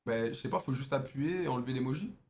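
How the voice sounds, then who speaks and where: A man's voice: mid-range voice, fast talker, darkish tone, a little hiss or hum. A young adult man, a streamer, bantering and mocking a stranger in slangy casual chat.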